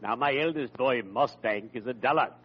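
An actor playing a genteel, cheerfully morbid undertaker with theatrical, ornate speech in an old-time radio comedy. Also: male voice, mid-range voice, moderate pace, slightly rough, close to an everyday voice. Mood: drily amused. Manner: genteel, theatrical, drily macabre. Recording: muffled, noisy vintage radio recording.

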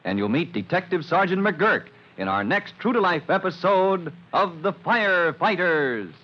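A man's voice: sits high, very animated, moderate pace, a little hiss or hum. An American man announcing a children's radio serial in a dramatic, scripted mid-century style. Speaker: announcer, narrator. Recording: muffled old radio recording.

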